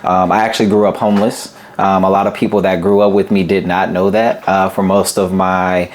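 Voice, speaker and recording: A young man from Berkeley speaks as a podcast guest, telling his story in a relaxed, steady way. His voice is somewhat low and full of small "um" pauses. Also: a little hiss or hum. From Berkeley, California. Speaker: guest, business founder. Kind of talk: casual interview chat.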